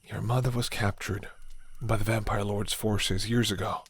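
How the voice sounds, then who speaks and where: A man's voice: on the low side, little pitch movement, moderate pace, fairly bright tone, husky. A man playing a sardonic rebel leader. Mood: grave. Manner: mocking, then earnest. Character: sardonic rebel leader Torvald, old friend.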